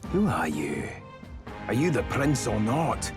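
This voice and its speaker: A man's voice: medium pitch, sing-song, takes it slowly. An actor playing a cold, murderous henchman in a fantasy video game, speaking formally and haughtily in blind loyalty to his lord. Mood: smug, menacing. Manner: haughty, menacing, formal speech. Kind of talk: scripted video game voice acting. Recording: loud music behind. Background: game soundtrack music.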